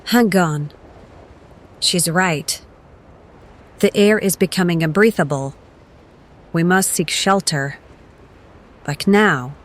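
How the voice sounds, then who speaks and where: A woman's voice: lowish voice, takes it slowly, pauses often. An actress playing a cautious, level-headed woman survivor in an audio drama, serious and formally worded. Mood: urgent caution.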